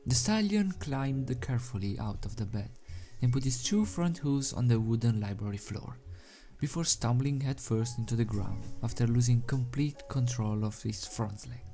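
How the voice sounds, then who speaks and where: A man's voice: medium pitch, moderate pace, mellow. Man narrating a story over music. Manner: stumbles over words and rereads phrases. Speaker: amateur fan fiction reader. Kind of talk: dramatic scripted story narration.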